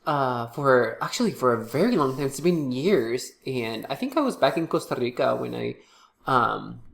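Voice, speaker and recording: A young man who is congested from a cold, his voice gravelly and creaky at the low end. He talks casually to camera, halting often with fillers and false starts. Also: big pitch swings, a bit bright. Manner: halting, many fillers and restarts. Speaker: tarot reader and channel host.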